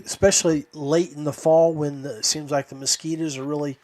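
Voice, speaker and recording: A man's voice: fairly high pitch, level tone, brisk, a little mellow. A man hosting a podcast, chatting casually and addressing guests and listeners. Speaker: podcast host. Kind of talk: casual podcast chat and sign-off.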